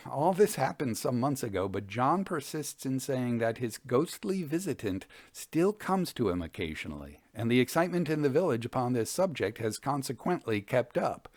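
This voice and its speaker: A man's voice: medium pitch, very animated, moderate pace, no room sound. Man narrating old tales, dry close sound. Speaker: podcast host reading old ghost stories. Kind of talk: scripted narration and reading of Victorian ghost tales.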